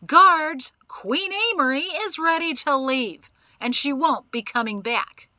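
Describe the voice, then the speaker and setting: A woman's voice: a little high, expressive, a little slow, bright, forceful tone, no room sound, pitched above the performer's own voice. A woman voicing a bitter, hot-tempered young man in chains, agitated and sarcastic, as in an audiobook. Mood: furious bellowing. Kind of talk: audiobook character acting.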